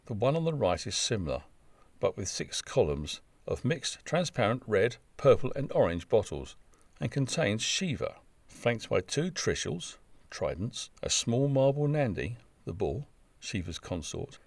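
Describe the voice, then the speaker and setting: A man's voice: mid-range voice, very animated, unhurried, no echo. A man reading a scripted travel narration in a wry, wordy, descriptive manner. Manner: wry, dry-humoured, wordy descriptive delivery.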